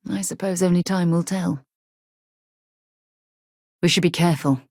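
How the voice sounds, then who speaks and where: A woman's voice: fairly low pitch, fairly even intonation, unhurried, a little mellow, no echo, studio quality. A woman playing a composed, principled space explorer and former navy officer in a video game, speaking in an articulate, earnest way. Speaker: video game companion character. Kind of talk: acted game dialogue voice lines.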